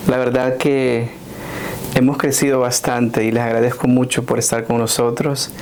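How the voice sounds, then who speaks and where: Man, podcast host, conversational interviewing, medium pitch, faint rumbling noise. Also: flat delivery, moderate pace, a little mellow.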